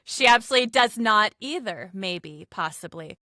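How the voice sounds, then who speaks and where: A woman's voice: medium pitch, animated, normal speed, sharp tone, no room sound, studio quality. A woman chatting casually as a podcast guest in a joking manner. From lives in California, USA. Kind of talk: casual panel chat.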